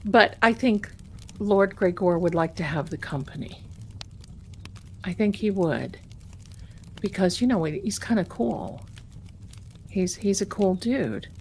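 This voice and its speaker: A middle-aged woman casually chatting with her live-stream viewers as a tarot reader, rambling warmly and calling them her darlings. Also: medium pitch, expressive, takes it slowly, pauses often, a little hiss or hum.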